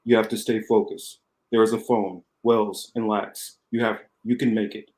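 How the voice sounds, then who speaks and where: A man playing a calm, steady phone operator guiding a fugitive in an amateur radio-play reading. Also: fairly low pitch, moderate pace, lightly acted. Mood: calm, urgent.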